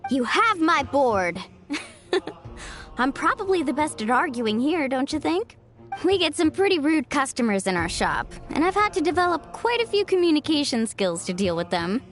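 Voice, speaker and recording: A woman's voice: high voice, big pitch swings, normal speed. A woman playing a bubbly, chirpy, sociable young shopkeeper in a video game. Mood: upbeat. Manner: chirpy, enthusiastic. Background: background music.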